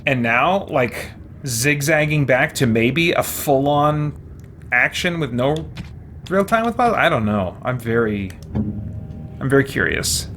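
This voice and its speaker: Guy livestreaming a game, middling pitch, rambles and muses out loud, noise behind him. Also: lively intonation, measured pace. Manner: rambling, musing, thinking aloud. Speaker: game streamer. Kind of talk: casual livestream chat over gameplay.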